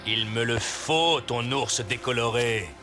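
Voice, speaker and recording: A man's voice: medium pitch, slow pace, natural voice. An actor, a man, playing a boastful, sneering hunter in a cartoon, mocking and gloating. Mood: taunting. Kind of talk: animated cartoon villain dialogue. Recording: music behind the voice.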